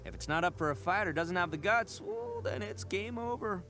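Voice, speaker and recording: A young oni braggart from a video game, bright and punchy in tone. His pitch leaps around wildly as he talks, chummy and theatrical, full of cocky, childlike enthusiasm. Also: wildly swooping pitch, normal speed, soft background music, full character voice. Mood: enthusiastic, boastful. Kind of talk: animated video game voice acting.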